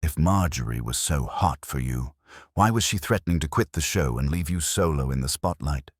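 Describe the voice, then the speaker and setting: Male narrator as wisecracking detective, cool and needling, very low voice with animated pitch, audiobook mystery. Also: moderate pace, husky, dry recording, clean studio sound, exaggerated voice. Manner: pleasant but needling.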